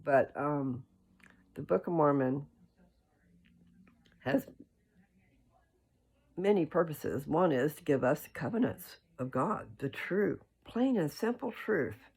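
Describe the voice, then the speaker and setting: A creaky, gravel-edged voice at a middling pitch shares scripture with listeners. The delivery is homespun and informal, moving between reading aloud and loose, rambling explanation with small check-ins along the way. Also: expressive, slow, long pauses, a little mellow. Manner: informal, folksy, checks in with "okay?". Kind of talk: scripture read aloud with casual, rambling commentary.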